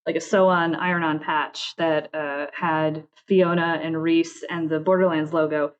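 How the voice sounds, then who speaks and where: A woman with a fairly low voice chats casually as an interview guest. She drops quoted asides into her explanations. The audio is a little dull and lo-fi. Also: little pitch movement, unhurried. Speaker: interview guest, game narrative director. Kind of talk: casual chat.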